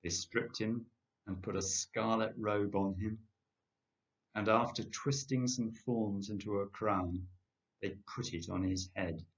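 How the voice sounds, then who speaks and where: An older man reads scripture solemnly, in measured, deliberate phrases. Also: lowish voice, steady tone. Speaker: lay scripture reader. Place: home kitchen, indoors. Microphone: webcam at about arm's length. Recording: dull, lo-fi webcam sound.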